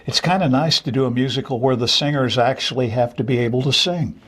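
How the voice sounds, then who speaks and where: A man's voice: mid-range voice, quick pace, breathy edge. An older man with a mid-range voice talks as a guest in an interview. He speaks as a theater director and teacher, unscripted and enthusiastic, in a reminiscing way.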